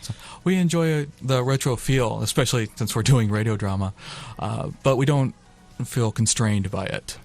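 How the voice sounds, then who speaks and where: A man's voice: medium pitch, moderate pace, a little mellow. A man guesting on a radio show, making wry, dry-humored remarks in casual chat. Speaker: radio drama performer, guest.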